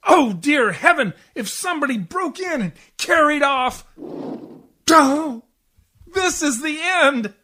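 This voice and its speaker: A man's voice: extremely high pitch, sharp tone, exaggerated voice. A man playing a grouchy, self-pitying, bourbon-loving husband in a 1940s-style radio comedy, whiny and melodramatic. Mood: alarmed, melodramatic. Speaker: community theatre actor.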